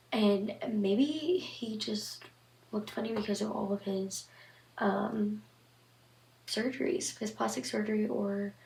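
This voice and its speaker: A young woman hosting a horror story vlog, talking in a rambling, monotone way with many fillers. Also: medium pitch, far from the mic. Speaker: horror story channel host. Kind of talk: casual vlog commentary. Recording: echoey room, off-mic. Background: occasional passing train.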